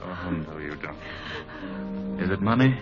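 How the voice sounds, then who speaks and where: An actor playing an earnest, frustrated husband who longs for a family, insistent and dramatic in old-time radio style. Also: male voice, low voice, expressive, slow. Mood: hurt. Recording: dull vintage radio recording with music.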